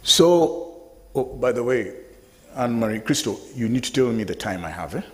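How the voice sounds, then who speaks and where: A man in his fifties giving a lively, conversational talk to a live audience at an event, speaking to them directly. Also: mid-range voice, moderate pace. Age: 50s. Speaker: guest speaker. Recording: long hall reverb.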